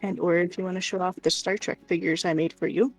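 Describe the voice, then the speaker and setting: A woman's voice: on the low side, fairly even intonation, fast talker. A woman co-hosting a livestream in casual, offhand chat. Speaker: livestream co-host. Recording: faint music behind.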